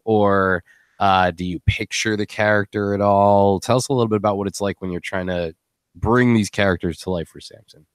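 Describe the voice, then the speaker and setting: A man's voice: on the low side, steady tone, normal speed, clear, resonant tone, dry recording. A man hosting a casual chat, talking in a rambling, jokey, slangy way. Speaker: live stream host.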